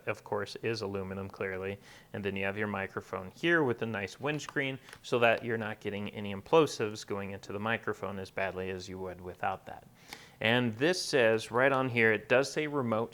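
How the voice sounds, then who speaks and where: A man's voice: fairly low pitch, a bit bright. A man giving casual, conversational unboxing commentary as a tech reviewer, explaining things as he goes.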